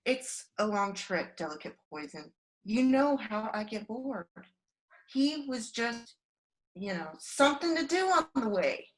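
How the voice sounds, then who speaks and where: A woman's voice: fairly high pitch, animated, measured pace, rough recording. An American actress playing a bloodthirsty young outlaw woman in a Western radio drama, taunting and crude in folksy frontier phrasing. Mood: gloating, playful.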